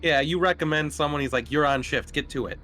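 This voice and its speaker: A man running a tabletop horror game, narrating casually and haltingly as he feels out each description. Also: on the high side, pushed and bright, a little hiss or hum. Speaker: game master.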